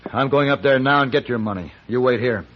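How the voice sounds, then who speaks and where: An actor playing a cool, shrewd western gunfighter in measured, terse old-time radio style. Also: male voice, medium pitch, lightly acted. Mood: intent, resolute. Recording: muffled, worn old radio recording.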